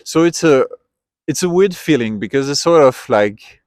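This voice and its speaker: Man, interviewee, mid-pitched, reflective and halting, dry close mic. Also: expressive, a little mellow, dry recording. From Switzerland. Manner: thoughtful, reflective, trailing off and restarting. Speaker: interviewee, tourist. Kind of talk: casual street interview. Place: outdoors at a memorial site.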